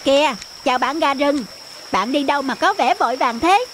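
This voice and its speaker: A woman voicing a cautious, caring forest rabbit in a children's cartoon, concerned and gently scolding. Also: high voice, lively intonation, moderate pace, background noise.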